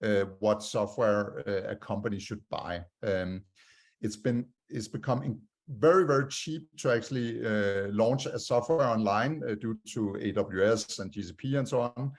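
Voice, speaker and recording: A man with a Danish accent presents a talk over a video call and fills it with many "uh" pauses. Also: on the low side. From Denmark. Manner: many "uh" fillers, measured delivery. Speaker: guest speaker.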